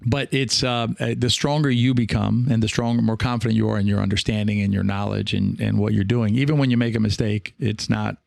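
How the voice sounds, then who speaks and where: Guy doing a laid-back podcast, low gravelly voice, rambles a bit, keeps checking in. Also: steady tone, brisk, few pauses, darkish tone, no echo, studio quality. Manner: hesitant, rambling, reassuring, often checking in with "right?". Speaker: podcast host and experienced pickleball player. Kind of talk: casual solo podcast talk, reading and answering listener comments.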